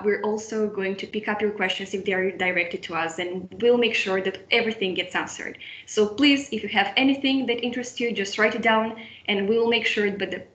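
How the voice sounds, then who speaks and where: A woman's voice: medium pitch, a bit bright. A young woman from Moldova moderating an online student webinar, friendly, often restarting and repeating phrases. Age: young adult. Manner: friendly, with frequent restarts and repetitions. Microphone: video call. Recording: roomy, dull video-call audio.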